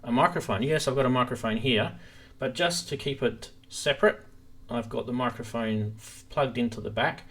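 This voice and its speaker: A man hosting a live stream, giving a casual, rambling explanation and demonstration of gear into a stream microphone. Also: medium pitch. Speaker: host of a synthesizer live stream. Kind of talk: casual live product demo.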